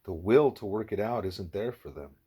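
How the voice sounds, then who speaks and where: Man reading as a patient, deliberate, low-voiced wise teacher. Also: warm tone, slightly rough. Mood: patient, instructive. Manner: didactic, deliberate. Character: wise, patient teacher leading a pupil by questions.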